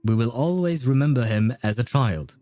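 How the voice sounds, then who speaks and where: Male game streamer, soft mellow tone, dry close sound, casual, rambling, halting chat with viewers. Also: medium pitch, animated, no room sound, soft background music. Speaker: game livestreamer. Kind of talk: casual livestream chat and commentary.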